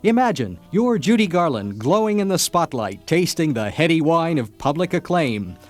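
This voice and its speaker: Grandiose radio pitchman, acted by a man: dramatic, very animated delivery over soft music. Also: moderate pace. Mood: grand, enticing. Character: grandiose contest announcer selling a movie star's glamorous, tragic life. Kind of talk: satirical radio ad, scripted announcing. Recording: music bed under the voice.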